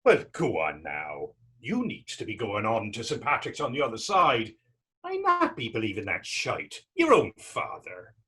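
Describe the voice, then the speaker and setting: Man acting husky, teasing young Irish drifter. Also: lowish voice, wildly swooping pitch, a little slow, sharp tone, full character voice. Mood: curious banter. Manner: teasing, lilting folksy phrasing. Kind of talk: stage readers theater dialogue.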